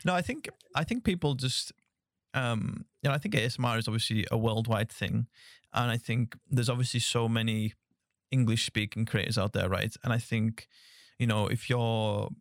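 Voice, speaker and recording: A man's voice: mid-range voice, normal speed, no room sound, clean studio sound. A young man hosting a podcast, chatting in a friendly, filler-heavy way. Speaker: podcast host. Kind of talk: casual interview chat.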